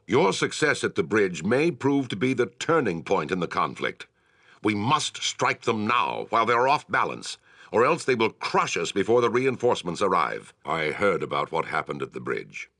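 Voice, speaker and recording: Deep, forceful fantasy war leader. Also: male voice, dry recording, studio quality, exaggerated voice. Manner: measured, formal, authoritative delivery. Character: grave, commanding male town war leader in fantasy. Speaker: quest-giving military commander. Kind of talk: video game character acting, formal dialogue.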